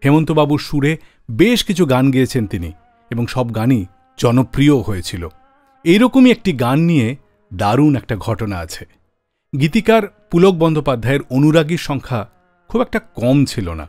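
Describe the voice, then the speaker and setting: A male radio host tells stories in a dramatic, scripted style. His mid-pitched voice moves up and down with lots of animation. It is recorded dry and close on a studio microphone, with faint music behind it. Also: very animated, moderate pace, darkish tone, dry recording. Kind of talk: scripted radio storytelling about songs and singers.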